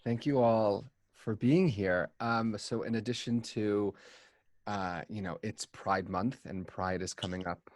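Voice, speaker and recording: Male panel host, unhurried and measured, lower pitch, dry video call audio. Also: fairly even intonation, no echo.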